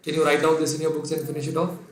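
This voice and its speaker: A man's voice: a little high, completely flat delivery, very fast, roomy sound, a little hiss or hum. A man from Kerala with an Indian English accent and Sindhi as his first language, giving a live online revision lecture. He dictates the working step by step and reads out viewers' names, answering them as he goes. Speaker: finance lecturer.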